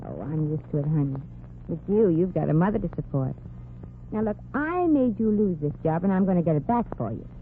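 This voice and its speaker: A woman's voice: on the low side, animated, a little fast. An actress playing a wisecracking young woman in a 1940s radio comedy, breezy and slangy. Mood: warm. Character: wisecracking young woman, brassy and quick-witted. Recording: tinny, hissy old radio broadcast.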